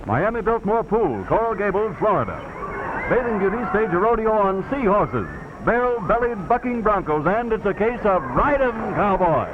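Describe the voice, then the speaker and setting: A man's voice: sits high, sing-song, moderate pace. A man reading a 1930s newsreel script in a declamatory, dramatic way. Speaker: newsreel narrator. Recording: scratchy 1930s newsreel, dull sound, loud music. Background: loud music.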